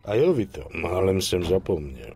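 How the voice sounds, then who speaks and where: An actor playing a bossy, brusque boss in a dubbed game, with a raspy, gravelly voice and a curt, patronizing manner. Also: male voice, deep voice, big pitch swings, a little mellow, heavily acted. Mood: brusque, mocking. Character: bossy, brusque male boss who hands out pay. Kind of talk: dubbed video game cutscene acting.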